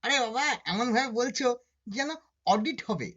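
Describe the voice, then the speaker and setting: A woman puts on an easygoing young husband from a puppet story. The voice is fairly high, sharp and very lively. It comes through a dull, lo-fi recording. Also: moderate pace, slightly rough. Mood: reassuring. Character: easygoing grown son and husband puppet, office worker. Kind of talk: puppet show moral story acting. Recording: dull lo-fi audio.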